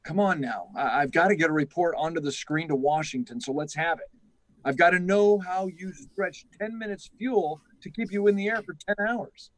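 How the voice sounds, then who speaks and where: An actor playing an aging, anxious, guilt-ridden test-flight chief in a radio drama, gruff, halting and urgent, often repeating himself. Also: male voice, on the high side, lightly acted, low-quality recording. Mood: brisk, pressing. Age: older. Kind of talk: live radio drama acting.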